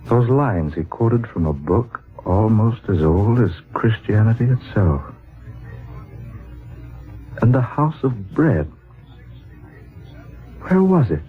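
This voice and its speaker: A man's voice: lowish voice, very animated, takes it slowly, pauses often. An actor playing an earnest younger male writer seeking truth, in dramatic 1940s radio style. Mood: reflective. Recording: muffled band-limited 1940s radio recording.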